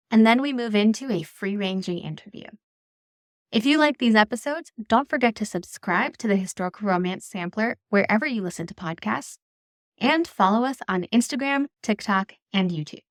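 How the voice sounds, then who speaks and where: A woman's voice: medium pitch, moderate pace. A woman hosting a podcast, delivering scripted introductions and conversational interview questions. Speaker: podcast host.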